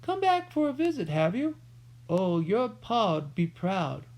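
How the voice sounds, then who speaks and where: A reader voicing a friendly, hard-of-hearing old male rat catcher who talks loudly and rambles on. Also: mid-range voice, sing-song, takes it slowly, pitched above the performer's own voice. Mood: hearty, half-deaf. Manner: shouts to be heard, garrulous.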